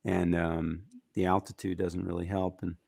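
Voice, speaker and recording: A man with a raspy, gritty voice, talking casually as a musician guest in an interview, rambling with fillers. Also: deep voice, normal speed, no echo. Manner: relaxed, rambling with fillers and restarts.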